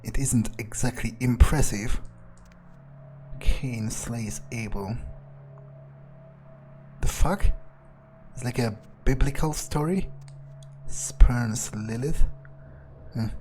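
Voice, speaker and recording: Male gamer for in-character playthrough narration. Medium pitch, musing and casually profane, slight background noise. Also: takes it slowly, pauses often, darkish tone.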